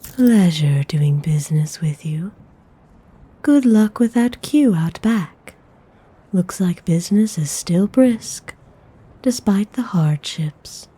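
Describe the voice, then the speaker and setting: A woman acts out a noble lady vampire for an ASMR roleplay, haughty but benevolent. Her voice is very mellow and soft, heavy with breath and half whispered. She speaks slowly and formally, in a slightly low register, with cool refinement. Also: lively intonation, breathy edge, full character voice. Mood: commanding, curious. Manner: unhurried, formal, coolly refined. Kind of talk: ASMR audio roleplay acting.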